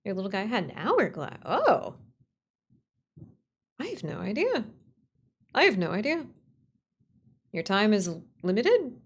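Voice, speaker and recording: Creaky-voiced woman chatting on a livestream. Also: mid-range voice, wildly swooping pitch, a little slow, pauses often. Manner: rambling, playful, swearing, coddling viewers. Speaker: streamer, writer and voice actor. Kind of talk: casual livestream chat with viewers.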